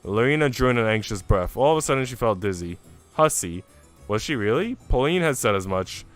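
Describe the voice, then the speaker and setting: A man's voice: medium pitch, lively intonation, fairly quick. A man reading a visual novel aloud as dramatic narration, with music behind him.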